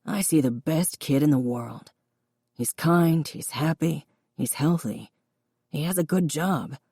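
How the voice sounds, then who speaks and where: A woman voicing a gentle, remorseful older father in an audiobook, quiet and earnest. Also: low voice, measured pace, breathy edge, no room sound, clean studio sound, exaggerated voice. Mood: tender, proud. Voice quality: reedy, quiet. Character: remorseful, loving father of grown children, older man. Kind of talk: audiobook character dialogue.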